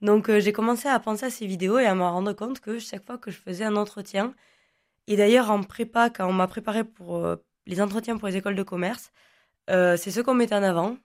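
A young woman whose first language is Occitan, talking at an everyday pitch. She answers in a loose, rambling way, full of fillers and false starts. She sounds like a guest chatting in an interview. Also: fairly quick. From Languedoc, southern France. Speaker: guest, online content creator.

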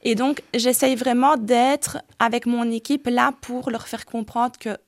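Earnest woman with a gravelly voice. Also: on the high side, steady tone, unhurried, no room sound, studio quality. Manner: earnest, hesitant with many "euh". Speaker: hairdresser and salon director. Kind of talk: radio interview guest.

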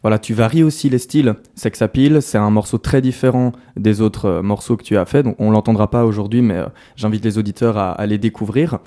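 A man hosting a radio interview, asking measured, thoughtful questions. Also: on the low side, mellow.

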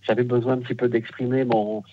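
A man from southern France calling a radio show, halting and hesitant with many fillers and restarts. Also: medium pitch, flat delivery, slight background noise. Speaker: caller. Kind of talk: phone-in conversation. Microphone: telephone. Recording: tinny phone line.

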